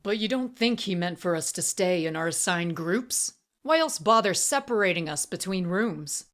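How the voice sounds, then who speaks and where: A woman's voice: on the low side, lively intonation, moderate pace, a bit bright. A woman voicing a sullen, assertive beaked male companion who objects and scoffs, in an audiobook. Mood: indignant. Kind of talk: audiobook character voice.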